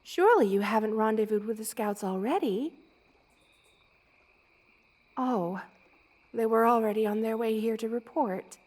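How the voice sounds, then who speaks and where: A woman playing a motherly, regal spider queen who is gentle and protective, in a tender ASMR roleplay. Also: a little high, a little slow, long pauses. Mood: worried. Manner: tender, cooing, doting, with regal commands.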